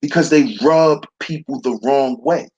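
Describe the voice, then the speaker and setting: A man with a baritone voice hosting a slow, preachy commentary monologue, calling his listeners brothers. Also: darkish tone, breathy edge, muffled recording. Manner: preachy, addresses listeners as brothers, repeats "see". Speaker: commentary channel host.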